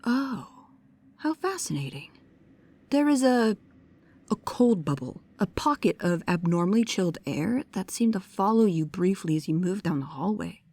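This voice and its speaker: A woman's voice: mid-range voice, lively intonation, moderate pace, darkish tone. A woman playing a bookish, precise and nervous young paranormal investigator, speaking to the listener in an audio roleplay. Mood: pedantic, curious. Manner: pedantic, hesitant, earnest.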